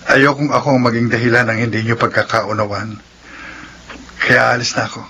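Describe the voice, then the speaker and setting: A shy, apologetic young suitor with a Filipino accent. His voice is husky with a bright, tense edge, and he speaks hesitantly and deferentially in radio drama style. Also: medium pitch, fairly even intonation, brisk. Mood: resigned, apologetic.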